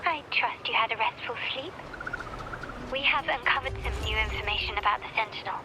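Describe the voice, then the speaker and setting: A woman's voice: fairly high pitch, a little fast, very noisy, lightly acted. An actress playing a poised, courteous young noblewoman in a video game, speaking in a composed, formal manner. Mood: courteous, businesslike.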